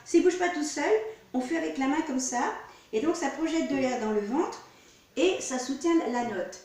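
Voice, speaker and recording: A woman's voice: sits high, moderate pace, darkish tone. Woman singing teacher with a cold, echoey. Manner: demonstrative, repeats words, talks through gestures. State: has a cold. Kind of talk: explaining vocal technique to students. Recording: echoey room, distant mic.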